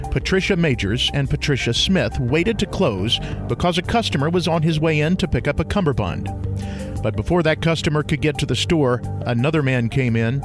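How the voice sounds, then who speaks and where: A man doing scripted voiceover narration and demo reading, recorded close on a studio condenser microphone. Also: fairly high pitch. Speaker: voiceover artist and interviewer.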